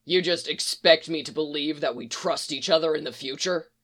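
A woman reading a story aloud, voicing a stern, suspicious male crime-fighter with a deep, clipped delivery. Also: normal speed, fairly bright tone.

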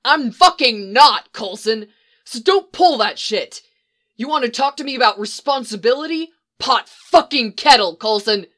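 A woman doing a reckless young male agent who shouts and curses through his anger, the voice loud, bright and sharp-edged. The tone stays bitter and raw, reading from a storybook. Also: mid-range voice, sing-song, moderate pace. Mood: furious.

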